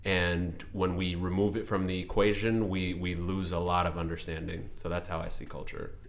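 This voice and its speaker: A young man with a deep voice and very little rise and fall in his speech. He answers interview questions thoughtfully, explaining ideas step by step and often circling back to restart a phrase. He grew up in Seattle in an Ethiopian family. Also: little pitch movement, moderate pace, vocal fry. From Ethiopian family, raised in Seattle. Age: young adult. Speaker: interview guest, attorney.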